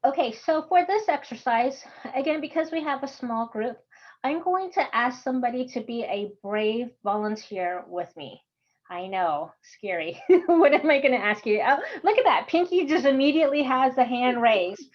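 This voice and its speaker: A woman's voice: high-pitched, expressive, moderate pace. A woman with a Texan accent leading an online workshop in a chatty, friendly way, laughing often. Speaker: course instructor. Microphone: video call. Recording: dull video call audio.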